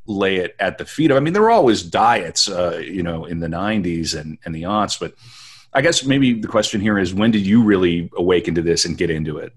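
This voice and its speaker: Deep-voiced reflective man. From Western North Dakota. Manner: reflective, wry, halting with restarts. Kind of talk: casual conversation.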